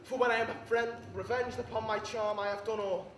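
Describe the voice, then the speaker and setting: A man's voice: high-pitched, flat delivery, unhurried. A man playing a betrayed, despairing Roman general in an impassioned, declamatory classical Shakespeare monologue on stage. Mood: raging. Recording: distant echoey room with background noise.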